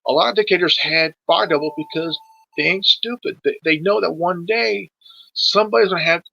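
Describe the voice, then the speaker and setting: A man's voice: high-pitched, brisk, creaky, pushed and bright, muffled recording, a little hiss or hum. A man in his forties in a casual political discussion, sweary and emphatic, often stumbling and repeating his words. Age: 40s.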